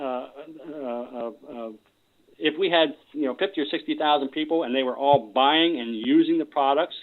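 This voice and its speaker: Male business presenter on a conference call, narrow phone sound, explanatory and unscripted, restarting phrases as he goes. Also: medium pitch. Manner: halting, repeats words when starting sentences. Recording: tinny phone-line conference call audio.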